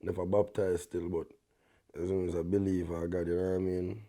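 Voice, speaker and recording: A young man with a Jamaican accent speaks in a very low, dark, mellow bass. He talks in a laid-back way, in patois, as a guest artist on an interview podcast, checking in with his listener at the end of his sentences. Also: flat delivery, brisk. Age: young adult. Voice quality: deep bass voice. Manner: laid-back, peppers talk with "you see me?".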